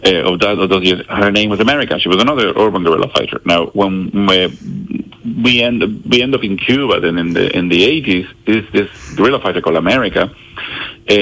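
A man from El Salvador speaking English as a radio guest, talking haltingly with many restarts and fillers. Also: sits low, a bit bright, low-quality recording. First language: Spanish. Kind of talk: radio interview.

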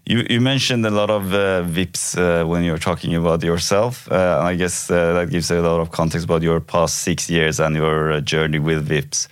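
A man's voice: low-pitched, little pitch movement, normal speed, hardly any pauses, clean tone, no echo, clean studio sound. A man hosting a company podcast, asking questions in a friendly, casual way with some fillers. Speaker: podcast host.

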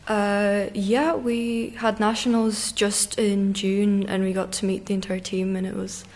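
A woman in her late teens from Ireland and Russia, answering interview questions conversationally and pausing to find her words. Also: medium pitch, flat delivery, a little mellow. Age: teens, around 17. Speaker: interview guest, competitive figure skater.

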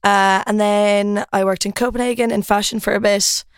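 A young Irish woman in her twenties chats easily as a podcast guest, her stories loose and full of "like" and "um". Her voice sits at an ordinary middle pitch. The recording is close and dry. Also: normal speed, clean tone, no room sound. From Ireland. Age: 20s. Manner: relaxed, chatty storytelling with filler words. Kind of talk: casual chat.

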